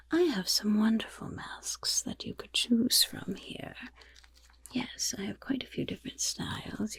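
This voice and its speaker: A woman voicing a friendly female goblin rogue in an ASMR fantasy roleplay, with a breathy, raspy whisper and gently encouraging, genteel phrasing. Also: mid-range voice, no echo, full character voice. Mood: helpful, reassuring.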